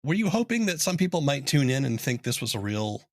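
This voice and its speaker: A man's voice: medium pitch, sing-song, brisk, no room sound, studio quality. A man hosting an interview podcast, asking questions in a conversational style.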